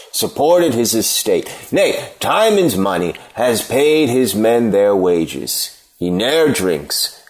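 A man playing a solemn, moralizing stranger, declaiming in a measured way in a Shakespeare play reading. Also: on the low side. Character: grave, moralizing male stranger condemning ingratitude.

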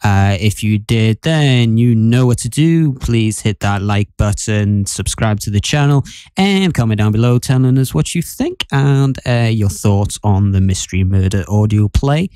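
A young man co-hosting a podcast in casual chat, with a mock-solemn, drawn-out delivery. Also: lowish voice, lively intonation, dark tone, smooth, no echo. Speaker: podcast co-host.

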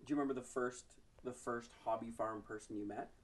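A woman's voice: extremely low pitch, steady tone, brisk. An off-camera woman interviewer asking casual, playful questions.

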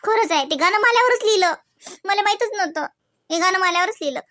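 A woman's voice: extremely high pitch, expressive, fast talker, pushed and bright, no echo, clean studio sound, exaggerated voice. A woman playing a playful, pouting young cartoon wife, whiny and theatrical, with a Varhadi Marathi accent. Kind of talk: Marathi comedy cartoon dialogue.

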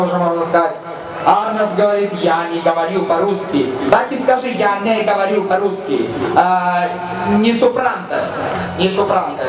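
A man announcing a motorsport event to the crowd, loud and energetic, shouting over the track. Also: sits high. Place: outdoor drift track. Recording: echoey PA sound from far off with music.

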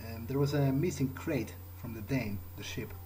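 A man improvising in-character dialogue as a gamer, in a hesitant, low-key way. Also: normal speed, dark tone, a little hiss or hum.

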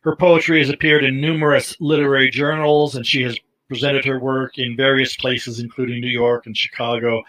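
A middle-aged man hosting an interview over a video call on a headset, pausing often with ums and uhs. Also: mid-range voice, little pitch movement, a bit bright, low-quality recording. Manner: hesitant, many ums and uhs. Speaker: interviewer, host. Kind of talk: interview questions.

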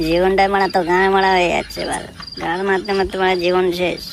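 A man's voice: sits high, level tone, fast talker. A man with a West Bengal Bengali accent voicing a drunken, bitter young man who rants coarsely in a comedy cartoon. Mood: drunken boasting. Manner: boastful, aggrieved, coarse. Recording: clear background noise.

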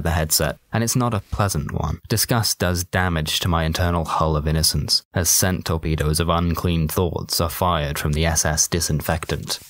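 A man's voice: sits low, a bit bright. A man narrating a first-person comic short story in a dry, colloquial, sardonic way. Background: music.

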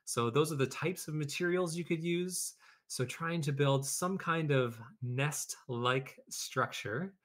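A man's voice: a little high, slow pace. A man hosting a children's read-aloud lesson, speaking conversationally as an educator to pupils and teachers. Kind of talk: children's story read-aloud and informal classroom instruction.

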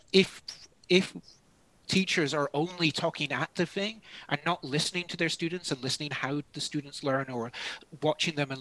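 Man, bright forceful voice, self-deprecating chatty webinar host, dry close sound. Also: fairly high pitch, no echo, low-quality recording. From Scotland. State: dry-mouthed, thirsty. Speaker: webinar presenter. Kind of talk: casual live webinar chat. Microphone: video call.

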